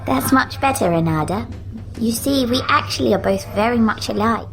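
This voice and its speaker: A woman's voice: a little high, animated, normal speed, gravelly, pitched above the performer's own voice, rough recording. A woman voicing a knowing, eerie little girl with a posh upper-class English accent, prim and teasing.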